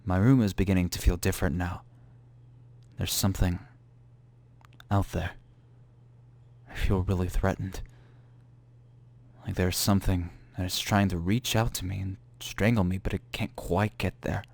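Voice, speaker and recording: A man's voice: deep voice, level tone, normal speed, creaky, long pauses, a little mellow. A man playing a paranoid, frightened young man in a creepypasta dramatic reading, telling his diary with anguished drama. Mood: uneasy, threatened. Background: music.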